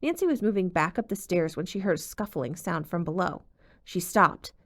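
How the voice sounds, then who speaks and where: A woman's voice: fairly low pitch, lively intonation, normal speed. A woman reading a novel aloud as an audiobook narrator, telling the story expressively. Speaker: audiobook reader.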